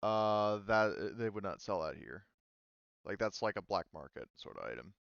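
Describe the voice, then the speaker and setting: A man's voice: lowish voice, sing-song, moderate pace, creaky, fairly bright tone, dry recording. A man running an online tabletop roleplaying game as game master, hesitant and thinking aloud as he goes. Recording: slightly dull, lo-fi voice-chat audio.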